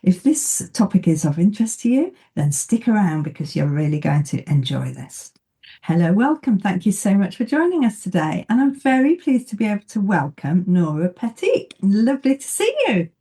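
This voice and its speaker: A woman hosting an interview show, who introduces her guest and asks questions with warm enthusiasm. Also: medium pitch, big pitch swings, moderate pace. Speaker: podcast host.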